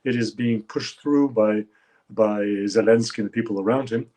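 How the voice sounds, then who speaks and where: A man in his seventies, a guest analyst giving measured expert commentary, often pausing with "uh" as he searches for words. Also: fairly low pitch, steady tone, warm tone. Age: 70s. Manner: measured, halting, with frequent uh fillers.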